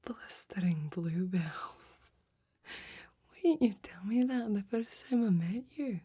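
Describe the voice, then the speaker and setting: Woman playing a husky whispering fantasy village blacksmith. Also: mid-range voice, lively intonation, takes it slowly, full character voice. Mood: warm, admiring. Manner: gentle, quaint old-fashioned exclamations and phrasing. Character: friendly folksy fantasy village blacksmith, warm and admiring. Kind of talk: soft-spoken ASMR roleplay, mostly whispered.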